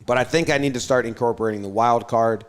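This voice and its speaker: A man's voice: medium pitch, quick pace, clear, resonant tone. A young man co-hosting a casual sports show, teasing his friends and working through standings aloud. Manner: teasing, thinks aloud with restarts. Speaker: podcast co-host.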